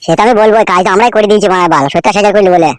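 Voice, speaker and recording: গ্রামবাংলার টানে একজন পুরুষ কার্টুনে এক মুখখারাপ, ফন্দিবাজ, খোঁচা-মারা চ্যালার গলা দিচ্ছে।. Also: male voice, high voice, very fast, clear, resonant tone, dry recording, studio quality, natural voice. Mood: scheming. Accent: rural West Bengali. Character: cheeky, scheming henchman, crude and foul-mouthed. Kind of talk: amateur comedy cartoon dub.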